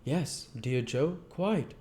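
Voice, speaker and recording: A man plays an earnest young storybook gentleman, pitched noticeably high. He speaks with affectionate, grateful sincerity and educated phrasing. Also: expressive, extremely slow, slight background noise. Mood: reassuring.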